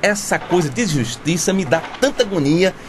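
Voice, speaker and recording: An actor playing a nervous, submissive, uneducated Brazilian bricklayer in a radio soap, hesitant and stammering. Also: male voice, sits high, animated, normal speed, background noise. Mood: anxious. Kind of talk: radio soap opera acting.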